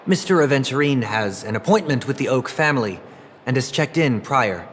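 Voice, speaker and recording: A man playing a courteous, composed leader of a powerful family in a video game, speaking formally and with measured grace. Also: medium pitch, lively intonation, a little hiss or hum, close to an everyday voice. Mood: polite, reassuring. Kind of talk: English video game voice acting. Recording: music behind the voice.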